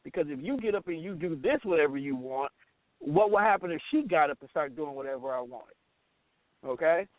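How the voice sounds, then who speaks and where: A man's voice: fairly high pitch, expressive, fairly quick, dry recording. An American man, middle-aged, talking casually and candidly as a radio show host, blunt and emphatic, often repeating his phrases. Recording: tinny, phone-like band-limited audio.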